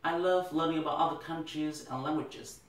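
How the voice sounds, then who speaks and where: A young man from Vietnam with a Vietnamese accent, an English teacher giving an earnest, deliberate prepared speech. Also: on the high side, steady tone. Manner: earnest, deliberate, occasional restarts. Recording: echoey room, mic at a distance.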